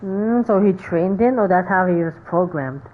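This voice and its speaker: Low-pitched, muffled woman commenting on a game. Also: expressive, moderate pace. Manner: musing aloud, reacting in short remarks. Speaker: game streamer. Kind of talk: casual let's-play commentary. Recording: muffled lo-fi mic over game music.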